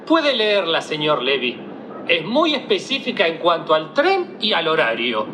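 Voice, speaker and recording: An actor with an Argentine accent playing an earnest, self-important police inspector in a radio play, speaking formally and pompously. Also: male voice, fairly high pitch, huge pitch swings, unhurried. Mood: grave, formal. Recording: noticeable background noise.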